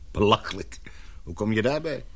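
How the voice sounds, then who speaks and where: Husky, animated, soothing father. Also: on the low side, measured pace, exaggerated voice. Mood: puzzled, probing. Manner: paternal, coaxing, questioning. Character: concerned, soothing father in a position of authority. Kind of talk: radio drama acting.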